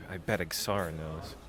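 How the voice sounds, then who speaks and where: A man's voice: low voice, fairly even intonation, slow pace, a crowd in the background. An actor playing an anxious, eager young man of twenty-two leading space biker recruits in an audio drama, stammering nervously. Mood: scheming, wistful. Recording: music under the voice.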